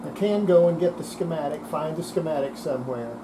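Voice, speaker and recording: A plainspoken repair demonstrator with a medium-pitched voice gives casual hands-on commentary. The speaker thinks aloud while working. A clearly audible steady hum of room noise runs behind the voice. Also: brisk.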